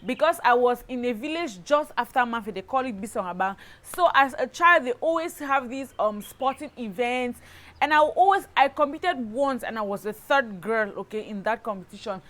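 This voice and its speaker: A woman with a Cameroonian accent telling a personal story in a casual vlog style, animated and emphatic, often checking in with the listener. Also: a little high, moderate pace. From Cameroon, southwest region. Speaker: lifestyle YouTuber, host.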